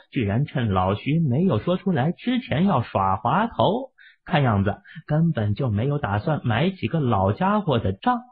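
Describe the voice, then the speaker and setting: Male audiobook narrator, husky, lively pitch swings, thin phone-like sound. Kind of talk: expressive scripted novel narration. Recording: muffled, phone-like narrow sound.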